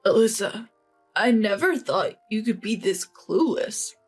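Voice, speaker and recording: A woman narrator acts out a fed-up young husband in an online story. The delivery is reproachful and serious, with lively pitch movement. Light music runs underneath. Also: a little slow, husky. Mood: angry, reproachful. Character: fed-up young husband, quietly angry with his bride. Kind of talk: dramatized online family story.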